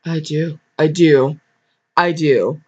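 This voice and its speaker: A woman's voice: low voice, steady tone, takes it slowly, warm tone. A woman voicing a strong, reassuring man, a groom in a read-aloud love story. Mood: solemn, strong. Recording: dull lo-fi microphone.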